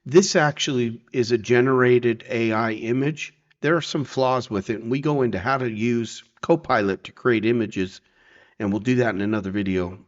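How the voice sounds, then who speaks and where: Male tutorial presenter, mid-pitched, informal and explanatory, slightly muffled lo-fi voice-over. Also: normal speed. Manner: informal, explanatory, checks in with "okay" and "right". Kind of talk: casual screen-share software tutorial.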